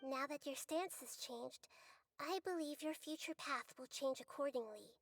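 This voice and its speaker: Wise little goddess for an anime game: high, youthful voice, composed and kindly. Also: female voice, normal speed, gravelly. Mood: calm, reassuring. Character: small, wise, gentle young female deity. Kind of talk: anime video game voice acting.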